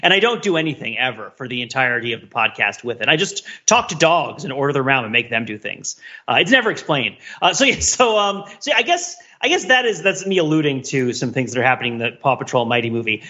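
A man co-hosting a podcast, talking casually and digressively about films in an animated, wryly analytical way. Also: mid-range voice, quick pace, muffled recording. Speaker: podcast co-host. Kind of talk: casual film discussion, rambling commentary.

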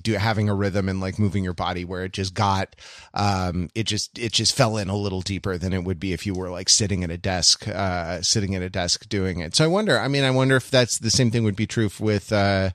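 A middle-aged man co-hosting a podcast, chatting casually and reflectively, halting often as he searches for words. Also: fairly low pitch, steady tone, a bit bright, no echo, studio quality. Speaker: podcast co-host.

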